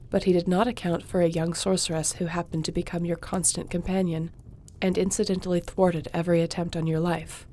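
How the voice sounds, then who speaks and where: Woman playing a composed, mellow-voiced court sorceress. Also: lowish voice, slight background noise, natural voice. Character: loyal, composed court sorceress advising her king. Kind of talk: audio roleplay fantasy acting.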